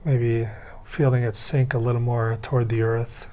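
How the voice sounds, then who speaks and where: A man teaching a guided meditation, gently coaching step by step in an unhurried way with pauses. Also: medium pitch, flat delivery, muffled recording.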